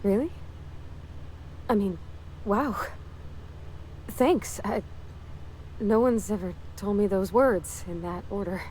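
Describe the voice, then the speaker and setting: A woman's voice: mid-range voice, slow, long pauses, darkish tone, a little hiss or hum, natural voice, poor audio. A woman voicing an earnest, kind-hearted young colony mechanic in a video game, hesitant and apologetic, with folksy phrasing. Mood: sheepish, touched. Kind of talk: naturalistic video game dialogue acting.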